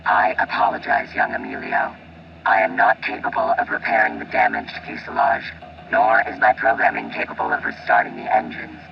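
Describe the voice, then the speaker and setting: A man's voice: very animated, normal speed, vocal fry, a crowd in the background, exaggerated voice. A man playing a formal, unemotional robotic flight engineer and bodyguard in a radio play, with a robot filter on the voice. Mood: calm, urgent advice. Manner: formal, precise, unemotional machine-like phrasing. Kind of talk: audio drama acting. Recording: distant, muffled, very noisy with vehicle rumble.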